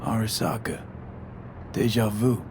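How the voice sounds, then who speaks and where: Guy doing weary cyberpunk merc, really deep voice, clipped and wry, vehicle noise in the back. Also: unhurried, lightly acted. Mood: sombre. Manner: terse, clipped, understated. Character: world-weary young male cyberpunk mercenary, terse, wry, sincere. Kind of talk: naturalistic video game acting.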